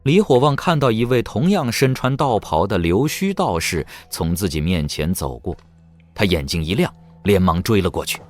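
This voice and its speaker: A man's voice: lowish voice, lively intonation, moderate pace. A man reading scripted, dramatic narration for a fantasy audio drama.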